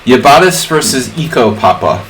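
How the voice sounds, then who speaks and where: A man's voice: mid-range voice, animated, normal speed, a bit bright, low-quality recording. A man hosting a livestream in casual, rambling chat, drifting off on jokey tangents. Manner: digressive, jokey. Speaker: livestream host, chess streamer. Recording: clear background noise.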